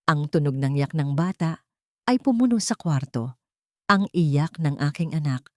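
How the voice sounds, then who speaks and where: A woman's voice: low voice, animated, unhurried, dry recording, studio quality. A woman narrating a dramatic first-person audiobook story, reading from a script.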